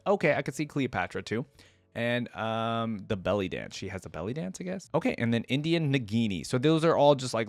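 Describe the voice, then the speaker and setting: A man's voice: on the low side, no room sound. A man giving casual reaction commentary as a video host, talking informally and often hedging or correcting himself. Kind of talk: casual reaction commentary, partly reading aloud from a wiki.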